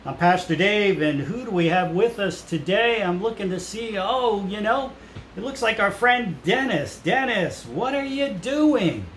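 A man's voice: high voice, expressive, measured pace, fairly bright tone. A man in his fifties speaking as a pastor to children, explaining things simply. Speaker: pastor hosting a children's puppet show. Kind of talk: children's ministry talk. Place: indoors in a small room. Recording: roomy echo with some background noise.

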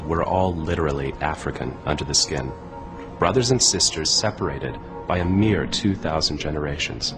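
A middle-aged American man presenting a documentary in an earnest, measured way, speaking to camera in a studio. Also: low-pitched, rough recording. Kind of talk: scripted documentary narration. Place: photo studio, indoors. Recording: music bed underneath.

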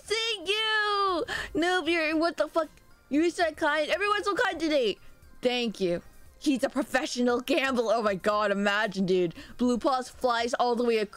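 A young adult woman streaming live, chatting excitedly with viewers and thanking them by name, speaking through a sore throat. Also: a little high. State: sore throat, a little sick. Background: faint background music.

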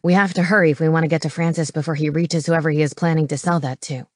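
Woman voicing a low, gruff, brainy man. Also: fairly even intonation, quick pace, no room sound, studio quality. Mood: urgent, decisive. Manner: terse, matter-of-fact. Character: gruff, brainy comic-book-fan man, terse and intense. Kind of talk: audiobook character dialogue.

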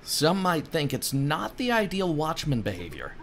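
A man's voice: fairly high pitch, huge pitch swings, moderate pace, slight background noise, voice pushed up. A man voicing an earnest, cheeky young male stowaway hero in an adventure game. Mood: protesting.

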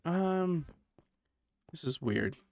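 A man's voice: on the high side, expressive, extremely slow, creaky, no room sound. A man from Canada chatting casually while streaming a building game, thinking aloud and poking fun at himself. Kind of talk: casual gameplay commentary.

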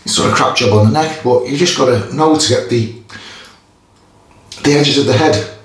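Chatty Geordie man in an echoey bathroom. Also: mid-range voice. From Newcastle area, England. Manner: chatty, stop-start, pauses between phrases. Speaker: shaving vlogger. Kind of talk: casual chat while shaving. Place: small tiled bathroom. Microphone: camera in front of face. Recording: echoey bathroom, faint noise.

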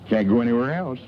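An actor playing a folksy, easygoing small-town driver in a 1940s film. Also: male voice, mid-range voice, natural voice. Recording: dull noisy 1940s film soundtrack.

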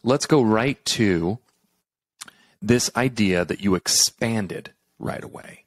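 A man's voice: fairly low pitch, slow pace, no room sound, clean studio sound. A middle-aged man hosting a podcast, upbeat and coaching, acting out listeners' thoughts as he unpacks points. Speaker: podcast host. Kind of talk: conversational interview hosting. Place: room at home, indoors.

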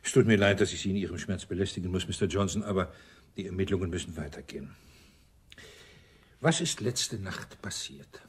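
A man's voice: sits low, brisk, breathy edge. A male actor playing a dry, sardonic police inspector in a German radio crime play, ironically polite. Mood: formally sympathetic.

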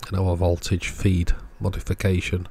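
A man's voice: deep voice, completely flat delivery, moderate pace, mellow, breathy edge. A man giving an informal technical walkthrough as host of an electronics repair video.

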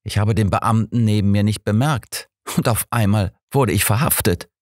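A man's voice: lowish voice, normal speed, no room sound, clean studio sound, pitched above the performer's own voice, close to an everyday voice. A man voicing an earnest, sorrowful businessman in an audiobook, polite and explanatory in manner. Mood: indignant. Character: earnest, sorrowful businessman from abroad, protesting innocence.

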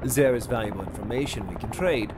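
An actor playing a suave, guarded secret service agent in a video game. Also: male voice, fairly low pitch, a little fast, heavy background noise, close to an everyday voice. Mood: businesslike, guarded. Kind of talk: video game cutscene acting. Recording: loud music under the voice.

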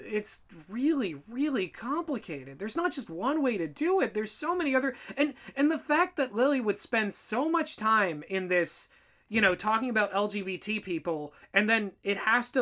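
Bright, high-voiced exasperated male co-host. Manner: exasperated, sarcastic, emphatic. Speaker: co-host and writer giving commentary. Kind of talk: casual chat commentary.

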